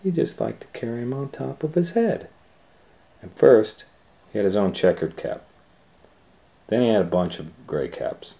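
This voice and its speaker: A man's voice: lowish voice, big pitch swings, moderate pace, creaky, pauses often, warm tone. A man reading a picture book aloud to children as storytime host, with conversational asides to the listeners.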